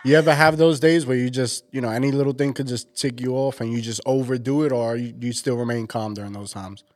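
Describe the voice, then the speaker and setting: Male podcast co-host, medium pitch, laid-back and low-key, casual talk. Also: fairly even intonation, quick pace. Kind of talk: casual chat.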